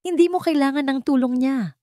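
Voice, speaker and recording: A woman's voice: high-pitched, brisk, warm tone, dry recording, studio quality, voice pushed up, close to an everyday voice. A woman voicing a furious, wealthy old grandmother who gives scornful, commanding orders in a dramatic story. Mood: stern anger.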